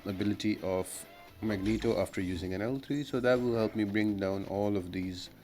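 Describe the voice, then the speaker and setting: A man's voice: on the low side, moderate pace, dark tone. A man giving a casual, step-by-step walkthrough commentary as the host of a gaming guide. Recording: clearly noisy voice-over with background ambience.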